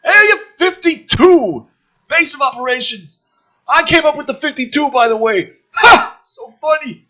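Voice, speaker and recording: A man's voice: very high voice, animated, measured pace, bright, forceful tone, voice pushed up, full character voice. A man voicing a smug, chatty, foul-mouthed government operative in a mocking script read, with flippant, exaggerated emphasis. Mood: boastful.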